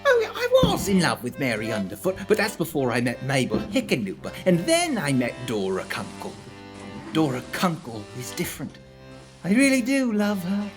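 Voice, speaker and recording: A woman's voice: sits low, normal speed, over music. A woman playing an eager, lovestruck young male apprentice in a comic, theatrical radio play manner. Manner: comic, excitable, conspiratorial.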